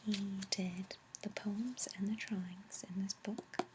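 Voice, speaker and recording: A woman speaking softly in a breathy near-whisper, gently and unhurriedly, as an ASMR host reading and chatting. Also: mid-range voice, steady tone, takes it slowly, heavy background noise. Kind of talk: soft-spoken ASMR reading and chat. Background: page turning, tapping, clicks.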